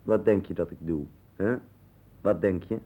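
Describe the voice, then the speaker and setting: A man's voice: deep voice, fairly even intonation, normal speed, slightly rough, phone-quality sound. An actor playing an impatient, scornful man in a 1960s Dutch radio play, curt and dismissive, with a Netherlands accent. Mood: testy. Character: impatient, scornful man putting up with his friend.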